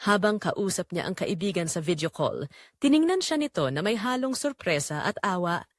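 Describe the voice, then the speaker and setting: Animated Filipino woman narrating a dramatic story. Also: on the low side, normal speed, no room sound, studio quality.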